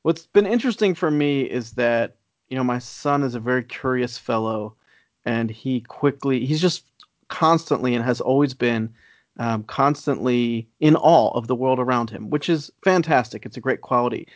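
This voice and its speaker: Male co-host, 40s, dry and reflective, deadpan humor, close and slightly muffled. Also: mid-range voice, no echo. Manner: wry, measured, reflective. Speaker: podcast co-host. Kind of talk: casual chat. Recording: dry, slightly dull lo-fi sound.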